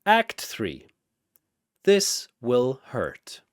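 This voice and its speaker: A man's voice: medium pitch, wildly swooping pitch, drawn-out words, no room sound, studio quality. A man giving dramatic scripted narration as a storyteller.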